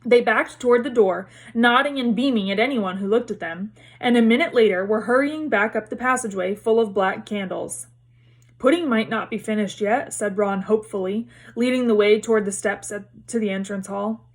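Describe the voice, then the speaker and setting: A woman reading a story aloud as narrator, in expressive storybook narration. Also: medium pitch, a little fast, few pauses.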